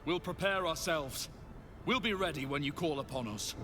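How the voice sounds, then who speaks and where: A man's voice: on the high side, moderate pace, noisy background, close to an everyday voice. A man voicing a courteous, steadfast comrade in a fantasy video game. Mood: resolute. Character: courteous, steadfast male ally in a fantasy war. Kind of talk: video game character acting.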